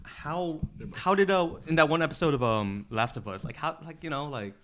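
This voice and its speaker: A man's voice: fairly high pitch, animated, moderate pace. A man chatting casually as a podcast co-host, rambling and slangy, with swearing mixed in. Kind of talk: casual group podcast chat. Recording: clear background noise.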